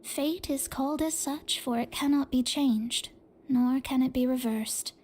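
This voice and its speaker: A woman's voice: high-pitched, measured pace, darkish tone, a little hiss or hum. A woman playing a solemn, composed astrologer in a video game, speaking cryptically about fate in scripted lines. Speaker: video game character voice actor.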